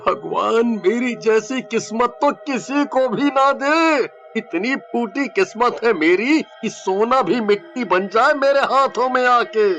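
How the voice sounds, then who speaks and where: A man's voice: extremely high pitch, animated, takes it slowly, few pauses, a bit bright, soft background music, heavily acted. A man playing a fearful, self-pitying village man in a melodramatic cartoon, with a pleading manner. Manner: pleading, stammering when frightened. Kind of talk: melodramatic Hindi cartoon acting.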